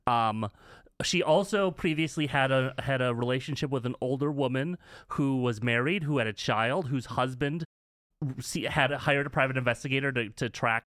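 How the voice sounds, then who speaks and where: A man co-hosting a podcast, casually discussing in an emphatic, halting way with repeated words. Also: medium pitch, fairly bright tone, no room sound. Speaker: podcast co-host.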